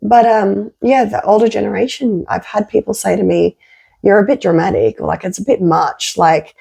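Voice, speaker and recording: Female therapist in candid podcast chat; gravelly, soft-edged tone, croaky with a flu, expressive delivery. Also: medium pitch, normal speed. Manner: expressive, emphatic, acts out quoted phrases. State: has a flu. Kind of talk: candid conversational podcast talk.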